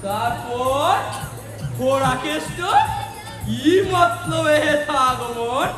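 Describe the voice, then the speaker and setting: A bellowing villain king, super high voice, slow pompous stage delivery, mocking and boastful. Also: full character voice. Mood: defiant. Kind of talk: comic Bengali stage play, mock-archaic declamation. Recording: echoey stage recording with music and crowd.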